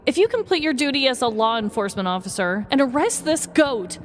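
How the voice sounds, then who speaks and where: A woman plays a pious female deputy in a comic audio story, high-voiced and swooping. She delivers solemn challenges in a grandiose, theatrical way, drenched in long reverb. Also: big pitch swings, brisk, a little hiss or hum. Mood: solemn, challenging. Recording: long hall reverb, music behind.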